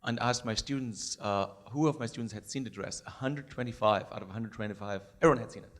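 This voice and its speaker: A hoarse man, a psychology professor, speaking fast and eagerly from the audience of a panel discussion with many restarts. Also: fairly low pitch. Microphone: handheld microphone.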